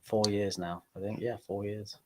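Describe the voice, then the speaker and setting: Man, thirties, from England, low voice, laid-back, halting, casual interview guest. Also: level tone, a little hiss or hum. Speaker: university golf coach, guest.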